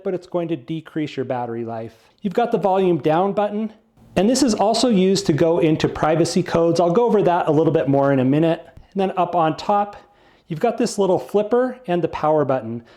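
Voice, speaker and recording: A man's voice: high voice, lively intonation, fairly quick, mellow. A man giving a casual product review, explaining things plainly, step by step.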